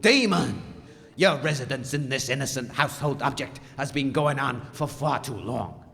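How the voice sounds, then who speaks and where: A man playing a bold, showy exorcist in a comic audio drama, creaky-voiced and grandiose. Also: mid-range voice, animated, normal speed, pushed and bright, in a large hall. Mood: solemn. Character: bold, showy exorcist leading a ghost tour.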